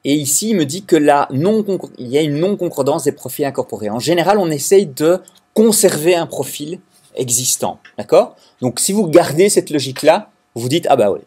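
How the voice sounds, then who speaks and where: Brief: a man from Belgium, classroom software teacher, husky slightly high voice, hesitant and explanatory. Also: animated, moderate pace. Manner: hesitant, restarts often, explanatory. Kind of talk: recorded classroom lecture.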